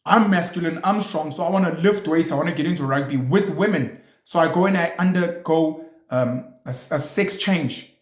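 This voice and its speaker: A man with a slightly high voice delivers a blunt, opinionated monologue as a show host. He pushes hard with rhetorical questions and emphatic stresses. The sound carries an echoey room. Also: moderate pace.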